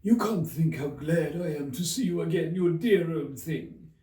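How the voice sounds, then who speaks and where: A man voicing a gushing, moralizing old Duchess for a storybook, with theatrical, drawn-out, sing-song pronouncements and overbearing affection. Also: on the high side, dark tone, pitched above the performer's own voice, natural voice. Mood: gushing, fussy. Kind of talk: theatrical storybook character reading. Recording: roomy, echoey, distant from the mic.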